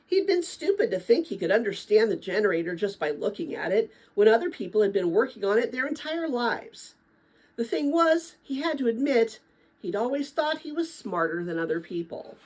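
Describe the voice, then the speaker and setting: A woman reading a children's book aloud in a dramatic, expressive style, with a chatty manner. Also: fairly high pitch, moderate pace. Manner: expressive, chatty when commenting to listeners. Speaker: read-aloud narrator.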